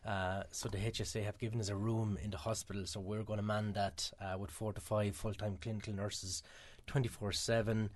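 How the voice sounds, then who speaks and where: A man with an Irish accent, talking quickly and chattily as a radio guest, with many filler sounds. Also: on the low side, flat delivery, darkish tone. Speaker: guest from a mental health charity. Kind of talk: radio interview answers.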